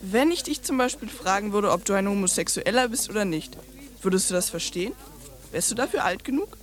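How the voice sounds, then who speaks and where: A woman's voice: mid-range voice, lively intonation, brisk, lightly acted. A woman playing a brash, foul-mouthed, stubborn young girl in a radio drama, bluntly rude and insistent. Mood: cheeky, probing.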